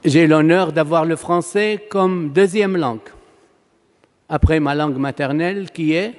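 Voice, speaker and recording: A Palestinian man, a native Arabic speaker with a Palestinian Arabic accent, preaching a sermon as a priest in an emphatic, oratorical manner with rhetorical questions and pauses. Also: a little high. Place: large church, preaching to a congregation. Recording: long reverberant church hall echo.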